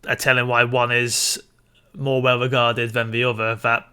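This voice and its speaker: A man's voice: medium pitch, little pitch movement, moderate pace, bright, forceful tone, clean tone. A man co-hosting a casual film discussion, explaining his opinions as he goes. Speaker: podcast co-host.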